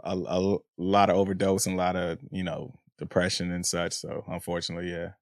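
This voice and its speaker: Deep-voiced man in casual podcast talk. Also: flat delivery, a little fast, dry recording, studio quality. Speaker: podcast co-host. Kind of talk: casual book discussion.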